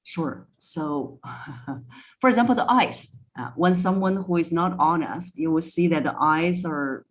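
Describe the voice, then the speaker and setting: A woman's voice: low voice, poor audio. A woman speaking as an interview guest and voice coach, explaining things conversationally and instructively with step-by-step examples. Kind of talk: conversational expert advice.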